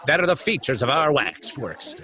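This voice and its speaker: An actor playing a brash waxworks barker in old-time radio style, hawking with declamatory patter. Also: male voice, medium pitch, very animated, normal speed, a crowd in the background, lightly acted. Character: excitable male waxworks barker, brash sideshow huckster.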